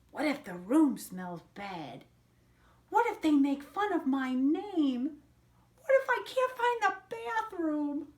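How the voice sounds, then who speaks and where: Woman voicing an anxious little mouse girl, high-pitched. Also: exaggerated voice. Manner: worried rapid-fire what-if questions. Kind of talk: children's picture book read-aloud.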